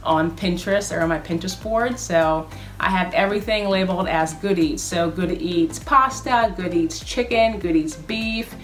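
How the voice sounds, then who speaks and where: Woman, thirties, slightly low voice, upbeat chatty vlog, home kitchen, camera mic. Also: normal speed, faint music behind. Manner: chatty, upbeat, lists items one by one. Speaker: vlogger. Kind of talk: casual meal-planning vlog. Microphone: camera in front, medium framing.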